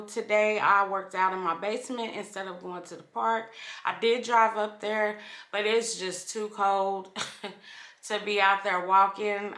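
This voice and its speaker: Casting: middle-aged female vlogger, casual and unhurried, bright voice with room echo. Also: mid-range voice. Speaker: vlogger, channel host. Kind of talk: casual chat to camera. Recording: roomy echo from camera microphone.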